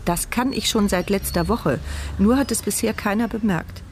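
A woman's voice: mid-range voice, normal speed, slight background noise, natural voice. An actress playing a bitter, sardonic paralysed woman who speaks her inner thoughts as the narrator of a radio drama. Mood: wry. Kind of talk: radio drama first-person inner monologue. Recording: studio radio play with music underneath.

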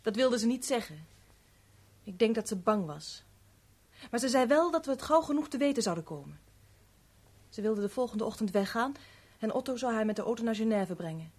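A guarded young woman in a seventies radio play. Her voice sits fairly high with a husky grain, and she speaks with terse reserve, giving little away. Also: expressive, a little fast, darkish tone. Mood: reluctant, subdued. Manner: terse, reticent answers. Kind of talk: 1970s Dutch radio drama acting.

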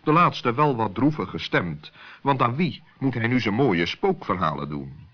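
A man narrating a children's story as an expressive storyteller. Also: medium pitch, unhurried, husky. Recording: dull, lo-fi old recording.

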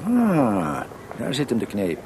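A man's voice: medium pitch, huge pitch swings, slow, background noise, exaggerated voice. A man playing a composer's blunt, cynical friend who teases and mocks, in a Dutch radio play. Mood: teasing. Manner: blunt, mocking.

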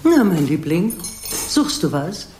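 An actress playing a doting old grandmother in a children's radio play, fond and gently ironic. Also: lowish voice, sing-song, slow pace, slight background noise. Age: older.

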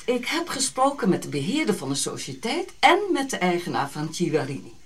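A woman's voice: lowish voice, very animated, a little fast. A middle-aged woman playing a pushy colonial society lady in a radio drama, gushing, grand and coquettish. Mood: pushy, businesslike.

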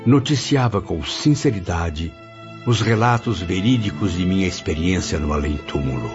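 Dead writer's sad proud ghost, theatrical radio soap lament, dull recording w/ faint music. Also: male voice, deep voice, lively intonation, normal speed. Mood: solemn, earnest. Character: anguished spirit of a dead male writer, proud, melancholy. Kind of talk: dramatic radio soap acting.

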